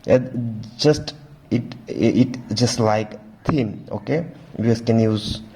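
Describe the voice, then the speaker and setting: A man giving a casual, halting walkthrough as a tech reviewer, often repeating stock phrases. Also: mid-range voice, background noise. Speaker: tech reviewer, video host.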